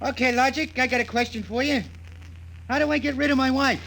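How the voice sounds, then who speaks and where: A man's voice: very high-pitched, fairly even intonation, fairly quick, vocal fry, bright, forceful tone, heavily acted, low-quality recording. An actor playing a slangy, joking working man and henpecked husband in a 1950s radio drama. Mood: excited. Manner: slangy, informal. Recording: hissy vintage 1950 radio recording.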